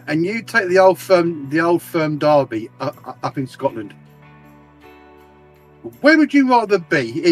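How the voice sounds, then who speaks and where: A man streaming a game, chatting casually with friends in a rambling, stammering way and swearing often. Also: a little high. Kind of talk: casual voice chat while gaming.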